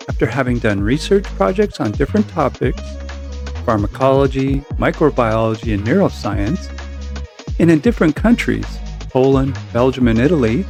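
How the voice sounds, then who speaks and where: A middle-aged man hosting a podcast interview, friendly and a little halting, with fillers and restarts. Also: medium pitch, a little mellow. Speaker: podcast host.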